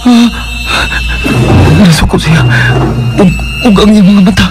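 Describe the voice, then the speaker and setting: A man's voice: high voice, measured pace, over loud music. A man in a radio drama playing a nervous young man who stammers and hesitates.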